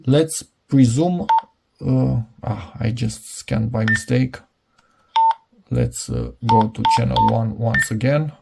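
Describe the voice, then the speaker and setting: A man's voice: mid-range voice, sing-song, slow, vocal fry, noisy background. A middle-aged man giving a casual, unscripted product demonstration, hesitant and rambling as he thinks aloud. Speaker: product reviewer.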